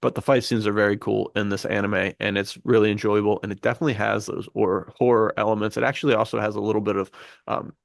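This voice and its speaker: A man's voice: on the low side, little pitch movement, fast talker, vocal fry, no room sound, clean studio sound. A man co-hosting a podcast, casually and enthusiastically recommending things. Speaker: podcast co-host. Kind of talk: casual pop-culture podcast chat.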